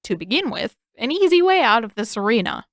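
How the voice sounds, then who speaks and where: A woman's voice: fairly high pitch, sing-song, brisk, no echo, studio quality. A woman voicing an eccentric old male king who is manic and fond of riddles, speaking in a theatrical, teasing way. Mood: sly, playful.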